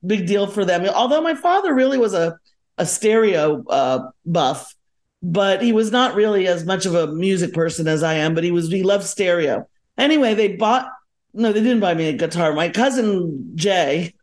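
A woman's voice: fairly low pitch, darkish tone. A middle-aged or older woman from South Florida, chatting casually as a podcast guest, digressing and correcting herself as she reminisces. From South Florida, United States. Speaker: podcast guest, musician and educator. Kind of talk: casual reminiscing interview chat.

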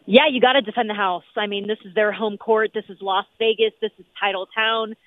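A woman sports reporter calling in from an arena, upbeat and full of fillers, her voice going hoarse. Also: medium pitch, fairly even intonation, no room sound. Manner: upbeat, rapid, many fillers. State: losing her voice. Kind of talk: phone-in sports radio chat. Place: noisy arena. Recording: tinny phone line.

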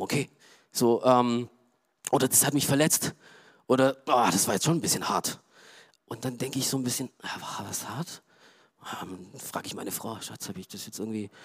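A middle-aged man from Romania, preaching a sermon in a loose, conversational way, full of fillers and quiet asides. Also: fairly high pitch. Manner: conversational, frequent "ja" and "ähm" fillers. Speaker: pastor.

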